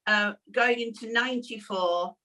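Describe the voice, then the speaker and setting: A woman's voice: on the high side, measured pace, pushed and bright. An older English woman co-hosting a casual panel chat over a video call, speaking in an earnest, reflective way. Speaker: co-host. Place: room at home, indoors. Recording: distant mic on video call.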